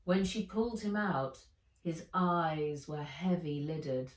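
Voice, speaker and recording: A woman reading a children's adventure story aloud as its author, with expressive, theatrical delivery. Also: low voice, takes it slowly. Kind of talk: dramatised audiobook narration. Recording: roomy, echoey, distant microphone.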